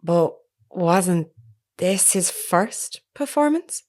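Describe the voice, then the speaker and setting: Formal, lowish-voiced woman reporter. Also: expressive, darkish tone. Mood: probing. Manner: formal, measured, insistent. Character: Hannah, earnest persistent woman reporter. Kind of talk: naturalistic audio drama acting.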